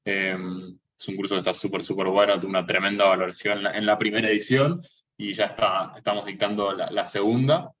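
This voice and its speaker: A man speaks casually as a webinar host, pausing often on fillers and starting phrases over. His voice sits a little low and comes through a muffled video call from some distance. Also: fairly even intonation, a bit bright. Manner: hesitant, many fillers and restarts. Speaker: co-founder and event host. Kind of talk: casual live webinar introduction.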